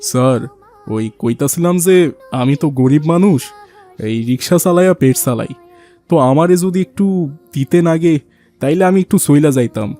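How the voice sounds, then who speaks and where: Man as a high-voiced humble rickshaw puller. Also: lively intonation, normal speed, pauses often, mellow, soft background music, natural voice. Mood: humble, earnest. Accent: rural Bangladeshi dialect. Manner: deferential, plain-spoken. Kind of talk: cartoon dialogue acting.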